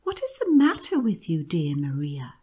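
Reader doing a concerned mom in a storybook, serious, lifted a little above narration. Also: female voice, low-pitched, sing-song, a little slow, a little mellow, breathy edge, exaggerated voice. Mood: alarmed.

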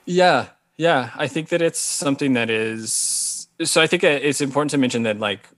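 A man's voice: mid-range voice, normal speed, fairly bright tone, no room sound, clean studio sound. A man in his thirties from Hawaii, answering interview questions thoughtfully over a video call, often restarting his phrases. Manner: thoughtful, careful, frequent restarts. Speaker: guest author. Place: small indoor room. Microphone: video call with earbuds.

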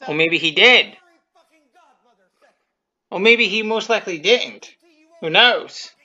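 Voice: mid-range voice, lively intonation, slow. A casual commentator talking off the cuff about their own video, rambling, often repeating and rephrasing, with some mild swearing. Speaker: creator giving commentary on own fan video. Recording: dull lo-fi audio with music behind. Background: music and quiet cartoon soundtrack.

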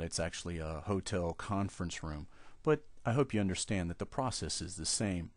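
A man explaining software steps one at a time in a screen-recorded tutorial, speaking at his computer. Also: fairly low pitch, dry recording. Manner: steady step-by-step explaining. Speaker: software trainer.

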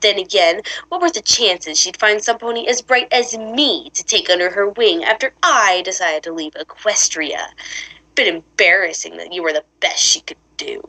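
A woman's voice: mid-range voice, normal speed, sharp tone, poor audio. A woman voicing a smug, scheming teenage villain girl in a cartoon, with mocking, condescending taunts. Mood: mocking, incredulous. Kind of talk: animated cartoon voice acting.

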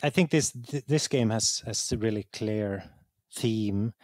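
A man's voice: on the low side, unhurried, a little mellow, dry recording, studio quality. A man from Sweden with a Swedish accent and Swedish as his first language, reflecting in an interview as a game designer, often repeating words as he searches for the right one.

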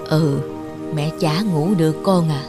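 Low-voiced, wistful elderly mother. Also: unhurried. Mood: wistful, reflective. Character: elderly widowed mother, wistful, gentle and yielding. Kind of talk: cartoon voice acting. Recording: music under the voice.

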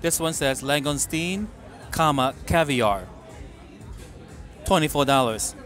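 A middle-aged man reviewing food in a casual vlog from a restaurant booth, making wry, deadpan remarks into a close mic. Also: on the high side, takes it slowly, soft background music, some chatter behind. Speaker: food vlogger. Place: dim restaurant booth, indoors.

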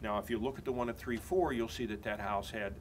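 An older man in his sixties giving a confident real estate listing pitch in an office conference room. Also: fairly even intonation, fast talker, slight background noise. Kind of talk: mock listing presentation.

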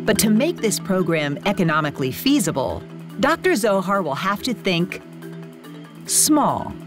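A woman reading scripted documentary narration in a lively, playful way. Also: mid-range voice, measured pace. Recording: voice-over with music bed.

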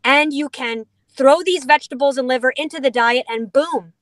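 A woman's voice: high-pitched, normal speed, fairly bright tone, dry recording, clean studio sound. A middle-aged woman in a vehicle on a video call, enthusiastically explaining a dog food product with playful asides. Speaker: dog food company representative. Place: inside a vehicle.

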